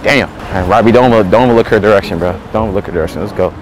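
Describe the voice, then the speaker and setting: A man in his twenties chatting casually outdoors on a city sidewalk, talking in a relaxed, slangy way with lots of fillers. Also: fairly low pitch, quick pace. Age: 20s. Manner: laid-back slangy talk with many fillers. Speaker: prank video host. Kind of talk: casual street chat. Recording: noisy street ambience.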